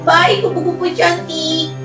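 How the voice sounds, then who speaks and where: A woman voicing a small, kind dragonfly in a children's story, with a gentle, polite and earnest manner. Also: very high voice, flat delivery, a little slow, voice pushed up. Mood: hurt, forgiving. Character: small, kind, forgiving, eager-to-befriend dragonfly. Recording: roomy echo, far from mic, music behind.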